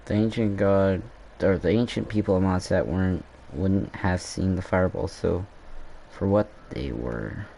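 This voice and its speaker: Low-voiced man commenting on gameplay. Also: vocal fry, warm tone, slight background noise. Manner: halting, informal rambling. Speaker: gaming video creator.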